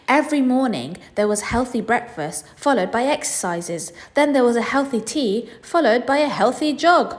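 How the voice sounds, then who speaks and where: A woman reading a picture book aloud for children in an expressive storytelling style. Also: fairly high pitch, moderate pace, hardly any pauses. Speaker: children's story reader and host.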